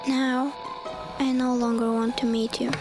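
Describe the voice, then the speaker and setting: A woman voices an estranged grown daughter in an animated short, guarded and wounded. Her highish voice is breathy, half whispered and very even in melody. Music plays behind. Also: unhurried, close to an everyday voice. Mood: guarded hurt. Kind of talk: scripted animated documentary narration.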